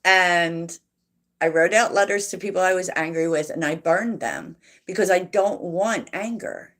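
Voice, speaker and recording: A woman hosting a tarot livestream, chatting softly and reflectively with viewers and laughing often. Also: lowish voice, lively intonation, measured pace. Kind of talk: casual livestream chat answering viewers.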